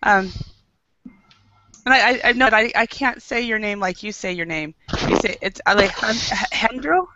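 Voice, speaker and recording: An American woman leading an online English class, upbeat and encouraging, with frequent quick affirmations. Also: medium pitch, dull audio, background noise. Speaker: English teacher. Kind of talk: online group English lesson.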